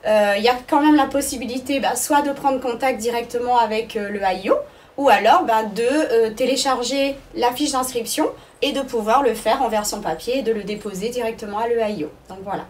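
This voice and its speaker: Higher-pitched woman explaining, slightly distant mic. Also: fairly quick, darkish tone. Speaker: arts school director, interview guest. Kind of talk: explanatory interview answers.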